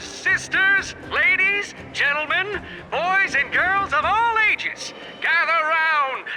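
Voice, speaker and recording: A man's voice: extremely high pitch, sharp tone, full character voice. An actor voicing an eager, theatrical veteran cable car operator in a video game, with a grand showman manner. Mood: showy announcing.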